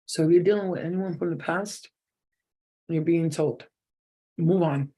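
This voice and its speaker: A man's voice: a little high, unhurried, warm tone, breathy edge. A man giving a channeled psychic reading to viewers, talking straight to them, emphatic and repetitive. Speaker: psychic reader.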